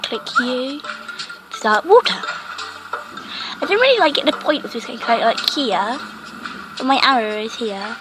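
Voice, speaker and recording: A woman hosting a casual game commentary, rambling with lots of fillers and a croaky voice from a cough. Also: fairly high pitch, lively intonation, measured pace, pauses often, over loud music, rough recording. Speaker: gaming channel host.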